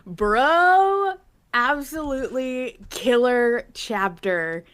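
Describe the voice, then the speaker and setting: A woman's voice: fairly high pitch, animated, drawn-out words, fairly bright tone. Playful, slangy woman hosting a podcast. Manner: playful slangy bro talk with swearing. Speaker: podcast host. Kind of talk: casual fan podcast chat.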